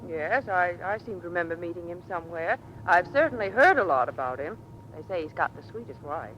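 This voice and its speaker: A woman's voice: fairly low pitch, animated, fairly quick, dull audio, lightly acted. An actress playing a poised, friendly young woman, courteous and self-assured, in old radio drama style. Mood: poised, teasing. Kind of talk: 1940s radio acting. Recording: faintly noisy vintage radio recording.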